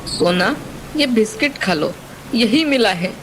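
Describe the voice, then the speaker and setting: A woman's voice: a little high, animated, measured pace, slight background noise, poor audio. A woman voicing an anxious, caring young boy, a protective big brother, in a dramatized moral story. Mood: reassuring.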